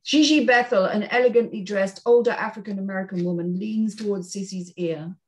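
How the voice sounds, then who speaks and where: A woman's voice: mid-range voice, brisk, darkish tone, rough recording. A woman narrating stage directions at a screenplay table read, in a scripted, read-aloud style.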